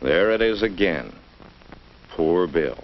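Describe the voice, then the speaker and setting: A man with an American accent narrating a 1950s instructional film in a measured way, as if speaking directly to a child. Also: sits low, lively intonation. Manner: measured, addresses the child directly with rhetorical questions. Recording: dull, noisy vintage educational film soundtrack.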